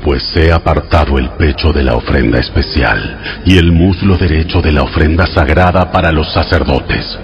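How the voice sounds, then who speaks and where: Audio Bible drama: God, low-pitched, solemn and authoritative, giving law over prominent music. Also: male voice. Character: God, solemn commanding male divine lawgiver.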